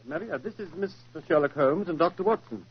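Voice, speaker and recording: Courteous theatre manager, lightly anxious, fairly high voice, 1940s radio drama, muffled recording. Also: male voice, animated, a little fast, husky. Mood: confiding. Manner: courteous, slightly hesitant.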